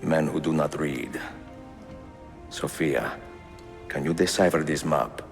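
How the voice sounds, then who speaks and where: An actor playing a suave, confident older Italian assassin in a video game cutscene, speaking smoothly with an Italian accent. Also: male voice, rumbling low, slow pace, long reverb tail, soft background music, exaggerated voice. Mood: measured, intent.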